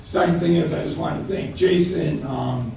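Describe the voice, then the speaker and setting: A man, giving a keynote speech at a banquet and telling stories with halting, repeated words. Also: fairly high pitch, a little hiss or hum. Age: late 50s. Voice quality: gravelly. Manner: storytelling, halting with repeated words, growing excited. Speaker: keynote speaker. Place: large hotel banquet hall. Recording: echoey hall from far off.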